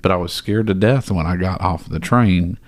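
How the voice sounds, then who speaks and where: A man's voice: low voice, normal speed, dry recording. A man co-hosting a casual porch chat, telling rambling stories and laughing often. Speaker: podcast co-host.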